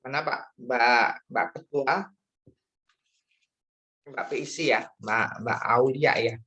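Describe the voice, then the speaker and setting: A man's voice: mid-range voice, steady tone, slow, pushed and bright. A man lecturing in an informal, chatty way, often checking in with questions during an online class discussion.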